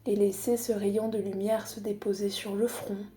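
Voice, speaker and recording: Soft mellow woman guiding relaxation over music. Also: medium pitch. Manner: calm, unhurried, soothing, with long pauses. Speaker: psychologist guiding a relaxation exercise. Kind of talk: guided body scan meditation.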